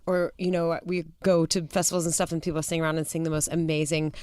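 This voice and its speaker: Lower-voiced woman hosting a chat. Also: level tone, a little mellow, clear, resonant tone, no room sound. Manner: reflective, halting, trails off and restarts. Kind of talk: casual podcast conversation.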